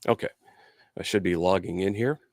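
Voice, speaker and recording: A man's voice: low voice, moderate pace, a little mellow, no room sound, studio quality. A man hosting a casual live product demo and interview, explaining things in an informal, conversational way. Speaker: host and product demonstrator.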